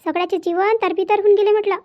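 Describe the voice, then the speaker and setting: A woman's voice: very high voice, steady tone, fast talker, muffled recording, a little hiss or hum. A woman voicing an opinionated, preachy village auntie in a cartoon family drama, chatty and repetitive, with a Vidarbha Marathi accent.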